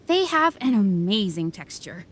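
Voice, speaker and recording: A woman's voice: medium pitch, big pitch swings, measured pace. A woman playing a polite young waitress who eagerly presents dishes in an anime-style game.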